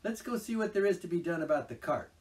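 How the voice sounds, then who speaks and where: A man voicing a stern, practical male water rat, speaking firmly and briskly. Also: high voice, lively intonation, a little mellow, recorded from a distance, pitched above the performer's own voice. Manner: firm and brisk. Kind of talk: storybook reading aloud.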